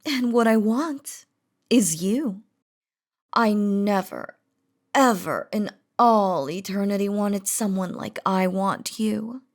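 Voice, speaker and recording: A woman voicing an imperious, possessive, lovestruck demon queen in a scripted roleplay audio drama, with a haughty, theatrical delivery. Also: medium pitch. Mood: possessive, smug. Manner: haughty, theatrical, slow and deliberate.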